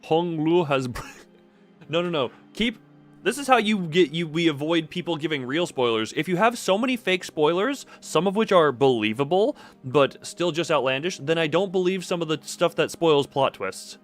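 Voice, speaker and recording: A man's voice: on the high side, lively intonation, normal speed, soft background music. A man streaming a game with casual live commentary, rambling and correcting himself as he goes.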